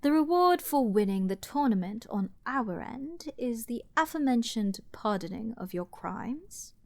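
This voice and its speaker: Arrogant royal princess, dominant, flirty, menacing, theatrical fantasy roleplay, lively swooping intonation, dry close-mic sound. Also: female voice, fairly high pitch, gravelly, no room sound. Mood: haughty, businesslike. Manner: theatrical, haughty, teasing, drawn-out delivery dropping into whispers. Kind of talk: dramatic fantasy ASMR roleplay. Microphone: close studio mic, dry.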